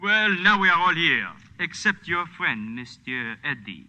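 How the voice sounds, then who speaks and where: An actor playing a suave, menacing police officer in a 1940s radio drama, formal, polite and insinuating. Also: male voice, fairly high pitch, big pitch swings, measured pace, sharp tone, muffled recording, exaggerated voice. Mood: suave, threatening.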